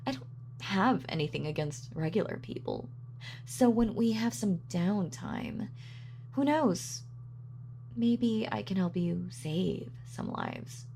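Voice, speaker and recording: A woman's voice: mid-range voice, very animated, slow, gravelly, dark tone, slight background noise, exaggerated voice. A woman playing a gloating, sadistic supervillain in an audio roleplay, with a mock-sweet, sardonic, taunting manner. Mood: coaxing. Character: gloating female supervillain, sadistic, darkly playful. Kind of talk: villain monologue in audio roleplay.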